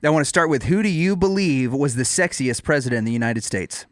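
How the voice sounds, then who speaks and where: Man, podcast co-host, upbeat, friendly, mid pitch, dry close sound. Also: lively intonation, brisk, no room sound. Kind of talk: casual podcast interview.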